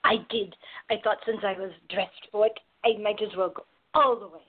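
A woman playing a bold, tough woman in biker disguise with a husky voice in a radio play. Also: mid-range voice, fairly even intonation, moderate pace, narrow, tinny audio, close to an everyday voice. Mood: relaxed.

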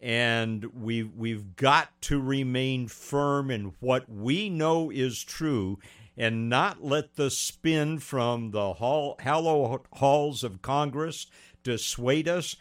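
Earnest male talk radio host. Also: medium pitch. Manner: earnest, measured, frequent "uh" pauses.

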